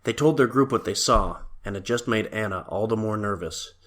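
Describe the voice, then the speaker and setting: Low-pitched male storytelling narrator. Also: normal speed, a bit bright. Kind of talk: scripted story narration for an audio drama.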